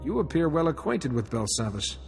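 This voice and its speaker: An actor playing a calm, resolute warrior-monk hero with a measured, dryly understated manner in a video game cutscene. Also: male voice, on the high side, vocal fry. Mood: composed, dry. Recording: music behind the voice.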